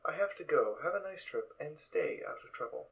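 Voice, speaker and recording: A man's voice: on the high side, animated, a little fast. A man voicing a polite, hesitant floating sprite robot in a fan audio drama. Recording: dull lo-fi recording.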